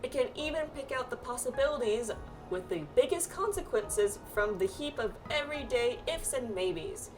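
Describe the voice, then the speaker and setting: A woman's voice: a little high, expressive, moderate pace, lightly acted. A woman voicing a breezy, confident astrologer guide from a fantasy game, chatty and teasing as she reads quest dialogue aloud. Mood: playful, boastful. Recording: distant mic, music behind.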